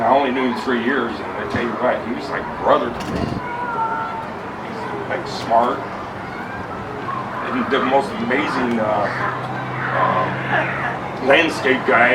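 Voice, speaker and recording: A middle-aged man with a loud voice giving an unscripted, rambling memorial tribute, blunt and swearing freely. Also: medium pitch. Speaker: eulogy speaker. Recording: echoey room, mic far off, loud noise.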